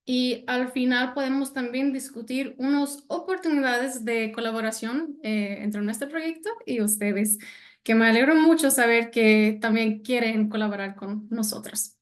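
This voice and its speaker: Slow, high-voiced woman lecturing in careful Spanish. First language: not Spanish. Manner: hesitant, many fillers, careful non-native Spanish. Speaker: guest speaker, senior analyst. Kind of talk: online lecture presentation.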